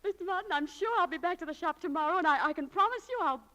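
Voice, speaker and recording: An American actress playing a proud, romantic, high-strung young shopgirl in a 1940s radio drama, with a dramatic, lofty manner. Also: animated, very fast, sharp tone, exaggerated voice, poor audio. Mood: elated.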